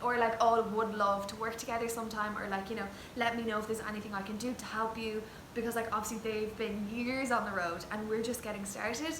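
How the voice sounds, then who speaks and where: A woman's voice: fairly high pitch, little pitch movement, brisk, few pauses. A young Irish woman, a traditional singer, chatting earnestly with lots of fillers in an interview. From Ireland. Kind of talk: casual chat. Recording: echoey room with background noise.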